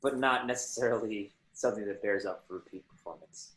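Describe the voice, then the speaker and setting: A man's voice: lowish voice, brisk, fairly bright tone. A man in his thirties chatting casually as a guest on a video call, wry and wordy. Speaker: guest panelist. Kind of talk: casual, playful chat. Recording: roomy, echoey video call sound.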